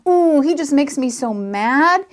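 A woman's voice: high voice, animated, measured pace, pitched above the performer's own voice. A woman voicing a resentful, hot-tempered young boy in a children's story, blurting and muttering with sarcasm. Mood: angry grumbling.

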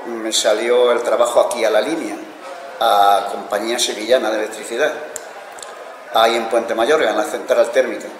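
An older man from Spain sits indoors, telling his life story in a plain, unhurried way. His voice sits in a middle register. The room rings with a long echo, and a faint hiss runs under him. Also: medium pitch. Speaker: interviewee, amateur musician. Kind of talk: casual recollection to camera. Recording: echoey room with faint noise.

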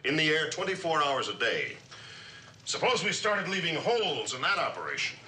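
A man's voice: fairly high pitch, very animated, normal speed, sharp tone, full character voice, poor audio. A man acting a stern, demanding Air Force general in a vintage suspense drama, with a clipped, commanding, admonishing manner. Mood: firm, lecturing. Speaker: actor in a radio and television drama. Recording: vintage drama soundtrack with background noise.

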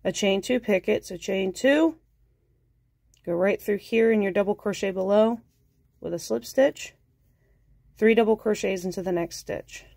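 A woman with a cold, sounding nasal, calmly talking through a crochet tutorial step by step and counting stitches aloud. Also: medium pitch, a little slow, creaky, pauses often, dry recording, clean studio sound. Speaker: crochet instructor.

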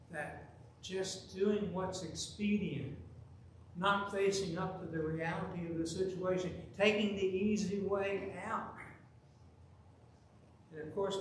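A middle-aged man preaching a sermon from the pulpit, telling a story with lively, emphatic delivery. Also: sits high, slight background noise. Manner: animated, gesturing, rising to loud emphasis. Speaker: pastor. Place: large church sanctuary, at the pulpit. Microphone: pulpit microphone, filmed from far camera. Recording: very echoey church with faint ambience.

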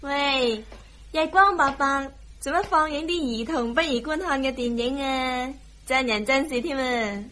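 An actress playing a sassy, teasing younger sister from a wealthy family in a Hong Kong Cantonese radio drama. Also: female voice, high voice, unhurried, pushed and bright. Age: young adult. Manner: teasing, exaggerated.

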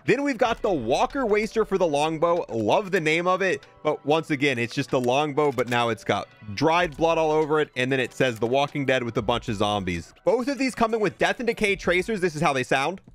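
Higher-pitched man doing casual game commentary. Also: big pitch swings, fairly quick, few pauses, faint music behind. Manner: informal, conversational, thinking aloud. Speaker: gaming video host reviewing a cosmetic bundle.

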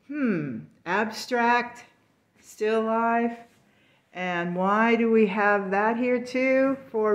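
Slow-talking woman touring a gallery. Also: mid-range voice. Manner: chatty, enthusiastic, unhurried. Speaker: artist and show organizer. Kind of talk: casual walkthrough tour of a gallery show. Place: gallery in an old brick factory building.

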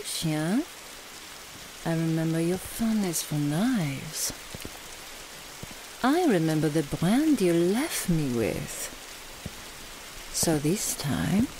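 Menacing French female thrall, played by a woman, mocking, very unhurried, lowish voice, audio roleplay. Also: sing-song, background noise. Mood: cold menace. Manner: slow, deliberate, mocking.

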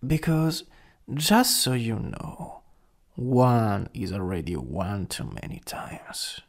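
A man with an Italian accent playing a jealous, possessive, taunting supervillain in an ASMR roleplay, drawling and teasing, sometimes dropping to a whisper. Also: on the low side. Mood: possessive menace.

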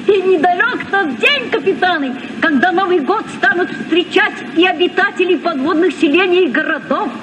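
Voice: very high-pitched, animated, moderate pace, hardly any pauses, dull audio. An actor playing an eager teenage boy captain in a Soviet radio play, enthusiastic and exclamatory. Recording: noisy old radio recording, reverberant.

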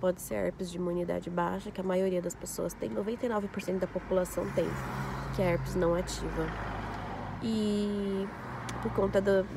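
A young woman vlogging casually to her phone camera, congested with a flu and a scratchy throat, explaining her skin recovery slowly. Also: lowish voice, fairly even intonation, creaky. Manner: talks slowly through skin recovery, showing details. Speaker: beauty vlogger. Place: inside a parked car, later a home sofa. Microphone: phone camera at arm's length.

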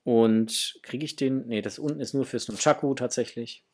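A middle-aged man talks casually to his viewers as the host of an unboxing video. His voice sits at a medium pitch. He sounds enthusiastic and generous with his approval, speaking from a small room at home. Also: moderate pace. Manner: enthusiastic, gushing. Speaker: host of a toy collecting channel.

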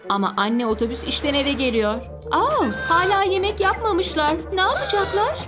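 A woman narrating a cartoon for young children, expressive and exclamatory, often asking questions and addressing the characters. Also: extremely high pitch, moderate pace, loud music behind the voice.

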